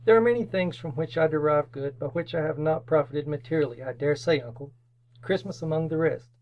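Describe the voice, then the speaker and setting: An actor playing a cheerful, kindly, good-natured nephew in radio drama style, earnest and gently persuasive. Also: male voice, fairly high pitch, fairly quick, lo-fi sound, natural voice. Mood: earnest, warm. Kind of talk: re-created old-time radio drama acting.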